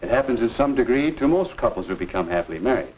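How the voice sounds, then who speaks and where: Genial 1950s minister, very lively intonation. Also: male voice, mid-range voice. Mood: explaining. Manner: avuncular, measured, folksy lecturing. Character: genial, earnest male minister counseling a young couple. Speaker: actor in an instructional film. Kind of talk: 1950s instructional film acting. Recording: dull 1950s film soundtrack.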